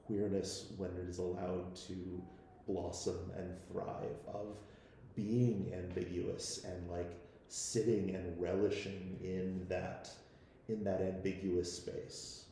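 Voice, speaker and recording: This is an American man from the Midwest with a lowish voice. He talks very slowly and haltingly in a panel discussion, often repeating words while he works out a thought. The room is very echoey, with faint noise behind him. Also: mellow. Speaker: panelist, member of an audio drama team.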